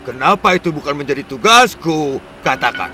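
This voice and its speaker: A grumbling, plotting cartoon vehicle, pitched a touch high, with a voice that swoops from sulky mutters to grand boasts. Music hums clearly behind it. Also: male voice, expressive, moderate pace, bright, forceful tone. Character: jealous scheming male vehicle who wants to boss everyone. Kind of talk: cartoon dubbing. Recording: music playing underneath.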